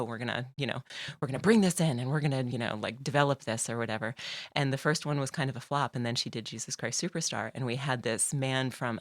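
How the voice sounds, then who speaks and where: A woman's voice: very deep voice, no room sound, clean studio sound. A woman guest on a podcast, talking casually about her life, with frequent laughter and lots of "like" and "you know". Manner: chatty, laughs often, many fillers. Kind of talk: casual conversational interview.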